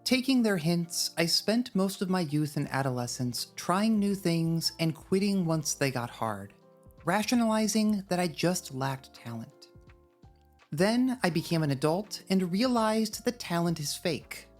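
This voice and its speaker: A woman's voice: on the low side, sing-song, unhurried, a little mellow, soft background music. A woman narrating a scripted video essay in a wry, drily humorous manner. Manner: wry, dry humour.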